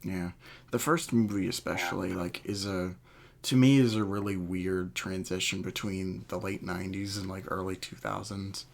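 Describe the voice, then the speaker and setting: A man's voice: low voice, fairly even intonation, moderate pace, vocal fry. A man chatting casually as a podcast co-host, laid-back and agreeable in manner. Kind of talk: casual chat.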